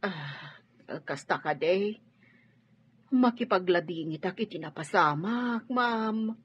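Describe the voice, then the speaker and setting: Husky, slow-spoken gentle housemaid. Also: female voice, on the low side, animated, heavily acted. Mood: sympathetic, hesitant. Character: gentle, solicitous older housemaid, respectful and sympathetic. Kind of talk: Filipino radio drama acting. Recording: dull audio with music behind. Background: music.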